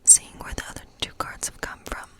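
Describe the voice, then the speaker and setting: Whispering woman reading tarot as a witch. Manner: unhurried, musing, thinking aloud. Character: gentle fortune-telling witch. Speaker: ASMR role-play performer as a tarot-reading witch. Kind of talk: whispered ASMR tarot reading role play.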